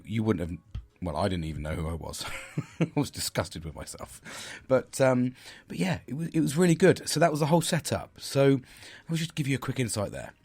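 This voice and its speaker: Podcast host, middle-aged man from the United Kingdom, husky and low-pitched, halting casual delivery. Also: a little mellow. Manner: hesitant, many fillers and restarts. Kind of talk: casual solo podcast talk.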